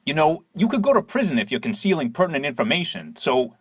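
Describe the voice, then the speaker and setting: A man's voice: on the high side, very animated, fast talker, husky, dry recording. A man playing a suspicious, bossy corrupt police detective in an audio drama, with a pushy, probing manner. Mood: suspicious, pressing.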